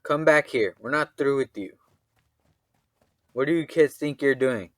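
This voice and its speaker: A man playing a gruff, suspicious father in a radio drama, with a stern, confrontational manner. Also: mid-range voice, unhurried, a bit bright, dry recording, studio quality, natural voice. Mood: angry, urgent.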